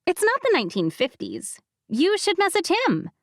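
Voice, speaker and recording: A woman's voice: very high-pitched, huge pitch swings, moderate pace, dry recording, studio quality, pitched above the performer's own voice, full character voice. A woman voicing a sassy, petite young woman friend who talks bluntly and teasingly. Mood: prodding.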